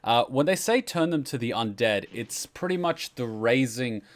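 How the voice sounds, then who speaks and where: A man's voice: mid-range voice, animated, moderate pace. A man hosting a reaction stream, giving casual explanatory commentary. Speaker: host of a game lore reaction stream.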